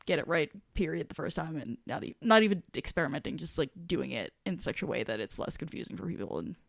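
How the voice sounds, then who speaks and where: A woman's voice: sits low, lively intonation, fast, vocal fry, no room sound, studio quality. A woman co-hosting a podcast in casual discussion, talking in a rambling, self-deprecating way. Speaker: podcast co-host.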